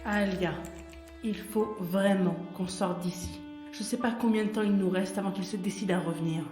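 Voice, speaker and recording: A woman's voice: medium pitch, steady tone, moderate pace, close to an everyday voice. A woman playing an urgent, determined officer who speaks earnestly, in an audio drama. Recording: roomy sound under music.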